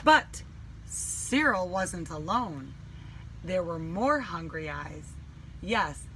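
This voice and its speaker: A woman reading a children's storybook aloud in an animated, dramatic way with deliberate emphasis. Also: mid-range voice, slight background noise, low-quality recording. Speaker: teacher reading a picture book.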